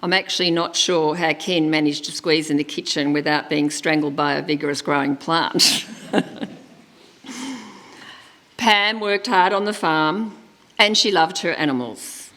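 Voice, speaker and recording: Gentle, lower-voiced woman reading a eulogy. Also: a little slow. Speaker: funeral celebrant. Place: church service. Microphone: microphone at a lectern.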